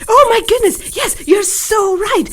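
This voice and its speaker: A woman voicing a giddy young woman who adores gothic thrills, gushing in shrill exclamations in an amateur radio drama. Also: unhurried.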